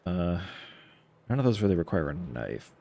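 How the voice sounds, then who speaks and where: A man's voice: low voice, sing-song, normal speed, creaky, heavy background noise. A man giving casual, wryly joking commentary during a live game playthrough. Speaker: game streamer.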